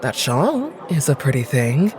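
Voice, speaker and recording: A woman playing a haughty, cynical noblewoman in an audio drama, with an arch, aristocratic and deliberate manner. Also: rumbling low, very animated, darkish tone, exaggerated voice. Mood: wry, gossipy. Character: haughty, cynical, insistent noblewoman, lady of the house.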